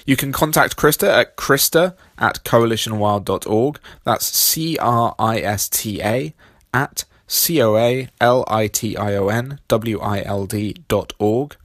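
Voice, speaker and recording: Husky sick man, podcast host, reading intro, low pitch, dry. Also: no echo. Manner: steady, reads details slowly and spells them out. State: husky from illness.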